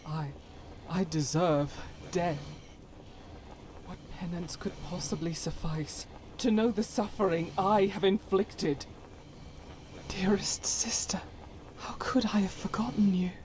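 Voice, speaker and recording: A woman playing a devout warrior in a game mod, earnest and solemn, with halting formal phrasing and breathy whispers. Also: lowish voice, a little mellow, slight background noise. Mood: anguished, guilty. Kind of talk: dramatic video game mod voice acting.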